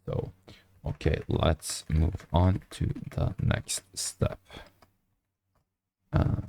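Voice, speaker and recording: A young man with a hoarse, nearly lost voice, mumbling through a late-night coding stream with many fillers. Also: low-pitched, little pitch movement, very slow. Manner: speaks quietly to avoid disturbing neighbors. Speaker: programming streamer. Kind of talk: casual coding stream commentary. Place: small apartment room at night.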